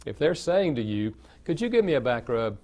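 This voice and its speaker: An older man with a Southern American accent, an author answering interview questions in a steady, explanatory way. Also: medium pitch, moderate pace. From Southern United States. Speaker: author and guest. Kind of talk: interview conversation.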